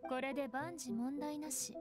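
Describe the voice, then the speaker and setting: A woman's voice: high voice, fairly even intonation, moderate pace, over loud music, close to an everyday voice. A woman playing an aloof, stern young woman in an anime game, terse and detached. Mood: cool, cautioning. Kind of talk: Japanese anime game voice acting.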